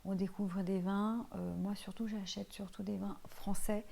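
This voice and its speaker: A woman's voice: medium pitch, level tone, measured pace, vocal fry, dark tone. A woman of Portuguese heritage, living in France, chatting casually to her viewers as she reviews finished products, with lots of hesitant fillers. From Portuguese heritage, lives in France. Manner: chatty, many fillers, addresses viewer informally. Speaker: vlogger reviewing used-up products. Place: home, tiled room.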